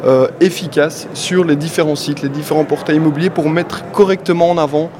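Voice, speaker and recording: A man with a Belgian French accent, answering an interviewer as a company guest. He talks with enthusiasm and a sales pitch, with many "euh" fillers. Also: steady tone, brisk, a crowd in the background. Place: event venue, among people. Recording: clear background noise.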